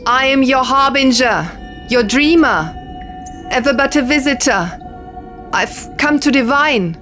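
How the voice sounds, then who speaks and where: A woman's voice: sits high, measured pace, fairly bright tone, voice pushed up. A woman voicing a solemn young female diviner in a fantasy audiobook, calling out ritual words in a projected, ceremonial way over music. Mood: solemn, summoning. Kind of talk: dramatic fantasy audiobook character voice. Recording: music and sound effects behind.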